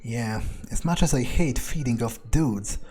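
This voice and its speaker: Male gamer staying in character, mid-pitched, dry and blunt. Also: a little slow, dark tone. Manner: dry, blunt and laconic, with cursing. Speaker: gamer roleplaying his character. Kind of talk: in-character game roleplay commentary.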